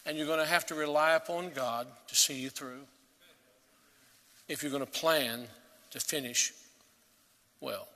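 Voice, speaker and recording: Earnest preaching man, bright voice in a hall. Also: a little high, unhurried, pauses often. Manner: earnest, rising to emphatic peaks then dropping to soft. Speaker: pastor. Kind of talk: sermon.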